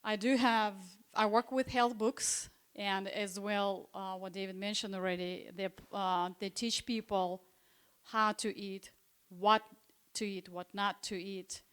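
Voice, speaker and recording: Smooth-voiced woman presenting health books. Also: mid-range voice, little pitch movement, measured pace, a bit bright. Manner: conversational, with frequent hesitations and restarts. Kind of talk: informal presentation at a church seminar. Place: church hall.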